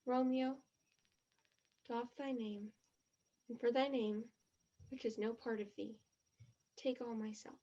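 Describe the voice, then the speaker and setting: Actress as a highish-voiced dreamy young woman. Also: steady tone, slow pace, pauses often, mellow, lo-fi sound. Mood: romantic. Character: naive, earnest, dreamy romantic young woman. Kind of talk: stage play acting.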